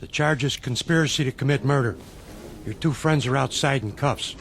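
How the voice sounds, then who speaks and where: An actor playing a curt, urgent police officer in a radio drama, official and authoritative. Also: male voice, mid-range voice, normal speed, gravelly, fairly bright tone. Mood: stern, official.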